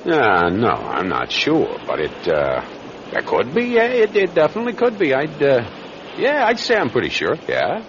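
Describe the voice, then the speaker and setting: Deep male voice, creaky, folksy wavering witness, halting, colloquial, hugely swooping intonation, vintage radio drama, noisy recording. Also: wildly swooping pitch, dull audio. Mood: wavering, obliging. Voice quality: gravelly. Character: folksy, rambling small-shop tradesman, a wavering witness. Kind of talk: old-time radio crime drama acting.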